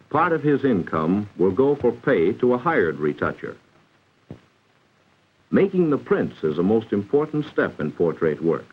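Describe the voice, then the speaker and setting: A man's voice: fairly low pitch, husky, highs cut off. An American man reading scripted 1940s educational film narration in a formal, instructive, carefully enunciated way, on an old film soundtrack. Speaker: narrator of a vocational training film.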